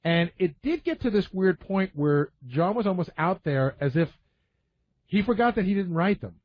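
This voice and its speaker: A man's voice: high-pitched, sing-song, moderate pace. A man explaining his opinions bluntly in a casual talk radio discussion. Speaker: radio show staff member and panelist. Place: radio studio. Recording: slightly dull, lo-fi radio audio.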